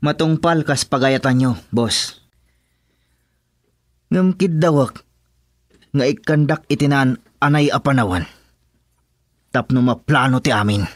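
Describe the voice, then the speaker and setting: A man's voice: mid-range voice, a little slow, long pauses, no room sound, lightly acted. Man playing an obedient Ilocano henchman, dry recording. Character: deferential henchman of a crime boss, assuring loyalty. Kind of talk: Ilocano radio drama acting.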